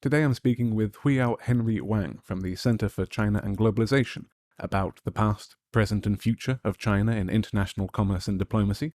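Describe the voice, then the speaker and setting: Low-voiced podcast host, polished delivery. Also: male voice, a little fast, few pauses, a little mellow, a little hiss or hum. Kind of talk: scripted intro and interview questions.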